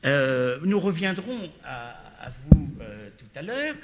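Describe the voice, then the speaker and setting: A man's voice: fairly high pitch, very animated, slow, very noisy. A man moderating a literary panel discussion, asking long questions with many hesitations and fillers. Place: lecture hall. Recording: reverberant lecture hall.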